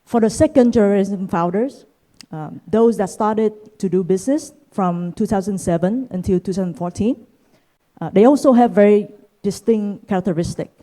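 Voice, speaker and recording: A woman's voice: mid-range voice, dark tone, rough recording. A woman in her thirties from Vietnam, giving a conference keynote talk with frequent "uh" fillers. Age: 30s. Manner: frequent "uh" fillers, measured delivery. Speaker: keynote speaker, venture capitalist. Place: conference stage.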